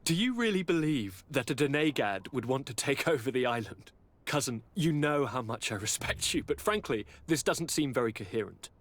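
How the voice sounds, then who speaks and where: A man's voice: medium pitch, sing-song, moderate pace. A man voicing a breezy, affectionate young aristocrat in a video game, with a courtly, airy and theatrical way of talking. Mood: politely dismissive. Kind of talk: dramatic video game acting.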